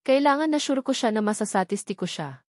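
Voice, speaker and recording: A woman's voice: fairly high pitch, animated, a little fast, darkish tone, no echo, studio quality. A woman with a Filipino accent narrating a romance novel in the first person. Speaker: audiobook narrator.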